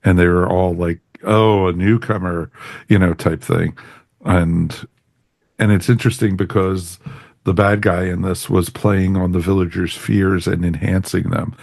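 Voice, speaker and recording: Low, creaky-voiced man hosting a chat. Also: little pitch movement, a little slow, a little mellow, no echo, studio quality. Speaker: podcast host. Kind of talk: casual review chat.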